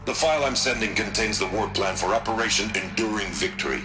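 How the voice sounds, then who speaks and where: A man's voice: fairly low pitch, fast talker, natural voice. A man with an American accent playing a resolute, commanding middle-aged military chief in a game, firm and authoritative. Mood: grave, commanding. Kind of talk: video game cutscene voice acting. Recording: roomy echo, music behind.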